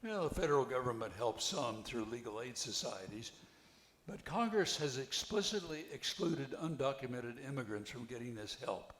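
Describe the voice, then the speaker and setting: An older man reading the part of an earnest, helpful widower in a play, with a halting delivery. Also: mid-range voice, sing-song, fairly bright tone. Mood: earnest, concerned. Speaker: amateur actor reading a play. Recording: echoey, roomy amateur recording.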